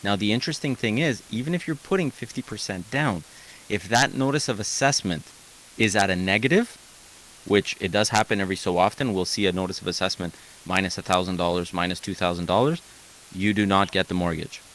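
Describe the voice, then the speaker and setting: A man hosting a call-in radio advice show, talking in an energetic, emphatic way and checking in with "okay?". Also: low voice, a little fast, poor audio. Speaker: radio host, mortgage broker.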